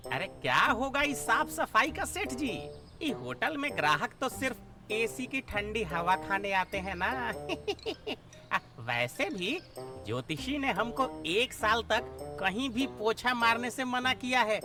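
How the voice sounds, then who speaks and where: Slow-talking, cheeky, whiny servant. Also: male voice, extremely high pitch, very animated, over music, exaggerated voice. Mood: whiny excuses. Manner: whining, wheedling, cheeky. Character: whiny, cheeky, work-shy comic clerk servant. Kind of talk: exaggerated comic cartoon acting.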